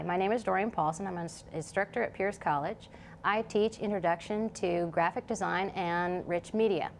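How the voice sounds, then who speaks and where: A woman's voice: fairly low pitch, a little hiss or hum. A woman speaking as a college instructor, giving an introductory presentation to camera. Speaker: college digital design instructor.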